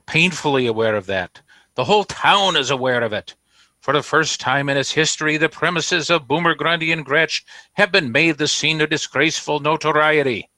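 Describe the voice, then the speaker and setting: Pompous, bright-voiced store proprietor. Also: male voice, fairly high pitch, normal speed, no room sound. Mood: stern indignation. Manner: pompous, exacting, formal. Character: pompous, indignant old-fashioned store proprietor. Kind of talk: 1940s radio comedy acting. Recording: vintage radio broadcast.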